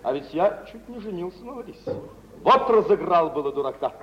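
An actor playing a carefree, imperious gentleman spendthrift in a mid-century theatrical radio drama, speaking expansively and commandingly. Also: high-pitched, lively intonation, fairly quick, slight background noise. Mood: musing, nonchalant. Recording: dull old 1940s-50s radio recording.

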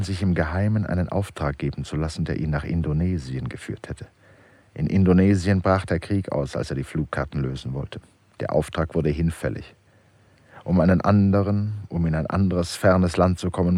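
Brooding radio drama narrator: low, husky, measured and literary, recorded close and dry. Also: male voice, darkish tone, no room sound. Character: grave, brooding male narrator of an inner drama.

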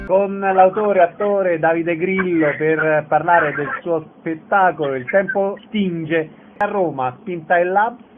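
A man's voice: high voice, fairly even intonation, slow pace, few pauses, over music. Man hosting a radio interview, tinny sound. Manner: announcing show dates, brief follow-up questions. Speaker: radio show host.

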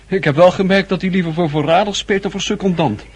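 A talkative French gentleman in a historical radio play. He is a loyal friend who tells things emphatically, in a husky voice pitched slightly high. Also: brisk. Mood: amused, confiding. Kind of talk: period radio drama acting.

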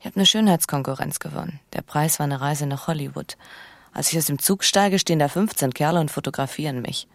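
An actress playing a bitter, passionate, scheming young wife in a naturalistic radio drama, speaking with urgent intimacy and slipping into whispers. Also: female voice, low voice, brisk, dry recording. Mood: bitter, weary.

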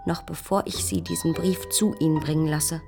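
Creaky-voiced, resolute young woman in sorrow. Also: deep voice, fairly even intonation. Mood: sad resolve. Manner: measured, formal. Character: resolute, sorrowful young woman writing farewell letter to lover. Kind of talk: literary radio drama letter reading. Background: instrumental music.

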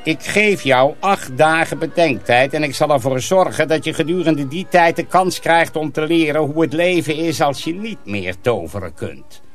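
An actor playing a domineering, menacing master sorcerer in a radio drama, commanding and threatening. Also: male voice, a little high, animated, moderate pace, few pauses, soft background music, lightly acted. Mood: cold, threatening.

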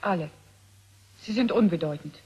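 An actress playing a young artificial human in a 1970s radio drama, speaking in a detached, terse, oracular way. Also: female voice, on the low side, moderate pace, darkish tone, slightly rough, lo-fi sound. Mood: detached, certain. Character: cold, logical young female artificial human, proud, inwardly torn. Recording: noisy old radio drama recording.

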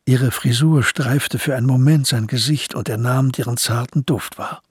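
A man's voice: mid-range voice, normal speed, husky, no echo, clean studio sound. A man giving scripted narration for a historical crime radio play.

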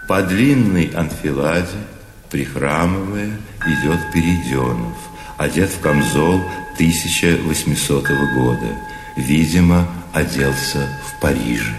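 Male narrator, scripted stage directions, low register, reverberant sound, clear musical backing. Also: unhurried. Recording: echoey, reverberant, music behind.

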